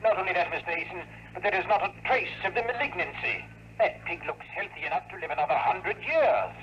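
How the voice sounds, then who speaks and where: A man acting an earnest, amazed researcher in an old radio drama, speaking in an excited, halting, deferential way. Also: high voice. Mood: astonished, eager. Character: amazed, earnest male researcher reporting test results. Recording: noisy old radio, telephone-call scene.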